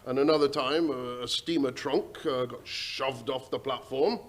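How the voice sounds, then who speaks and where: A man's voice: medium pitch, a little slow, close to an everyday voice. A man playing a worried, folksy station master. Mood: puzzled, earnest. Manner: hesitant, folksy, respectful. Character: deferential, worried working-class English railway station master. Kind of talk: old-time radio drama acting.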